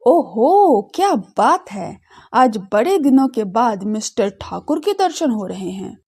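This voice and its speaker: A woman's voice: a little high, sing-song, slow, mellow, husky, heavily acted. A woman voicing an affectionate, playfully scolding middle-aged aunt in an audio drama. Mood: mock offended.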